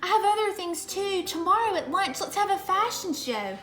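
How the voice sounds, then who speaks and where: Woman acting young female sheep, timid, earnest, high voice, storybook character, roomy sound. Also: animated, moderate pace, a bit bright. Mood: excited. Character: meek, creative young female sheep factory worker.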